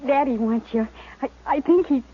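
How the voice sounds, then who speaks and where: High-voiced, distraught young frontier daughter, acted by a woman. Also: natural voice. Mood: anguished, pleading. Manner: halting, pleading. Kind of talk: 1950s radio western acting. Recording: tinny, noisy old radio recording.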